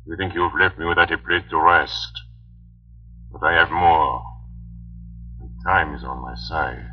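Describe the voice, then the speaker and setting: An actor playing a menacing, gloating vampire count who taunts slowly and solemnly in old-time radio horror style. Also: male voice, extremely low pitch, steady tone, soft background music. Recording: muffled old radio recording.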